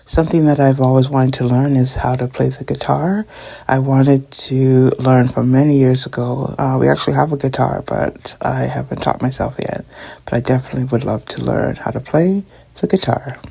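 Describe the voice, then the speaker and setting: A woman's voice: very deep voice, fairly even intonation, normal speed, creaky, warm tone, husky. A middle-aged woman, chatting casually to her viewers while tidying up at home. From Canada. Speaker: vlogger. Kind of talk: casual chat while decluttering.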